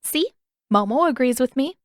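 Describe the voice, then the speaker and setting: A woman's voice: high voice, expressive, moderate pace, no echo, studio quality, voice pushed up. A woman voicing a stubborn, teasing teenage girl who bickers sarcastically in an audiobook story. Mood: prodding.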